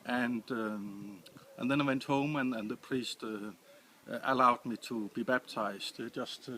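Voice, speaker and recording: A man from Denmark with a Danish accent, answering interview questions in English with many pauses and restarts. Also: mid-range voice, steady tone, bright, forceful tone, a little hiss or hum. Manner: hesitant, many restarts and fillers. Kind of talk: casual interview.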